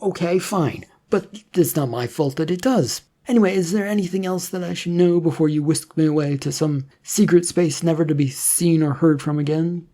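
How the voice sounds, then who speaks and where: A narrator voicing a weary, defensive, reluctant male hero with a halting, protesting manner in a story. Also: mid-range voice, dark tone, voice pushed up, lightly acted.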